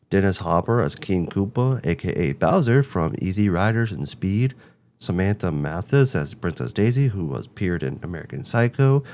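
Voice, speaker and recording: A man's voice: sits low, darkish tone, slight background noise. A man narrating a scripted review as host, with emphatic, rhetorical phrasing. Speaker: host of a video game movie review show.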